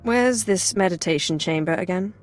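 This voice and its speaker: An actress playing a cool, commanding Sith inquisitor in a video game, composed, dry and formal. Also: female voice, on the low side, normal speed, soft background music, natural voice.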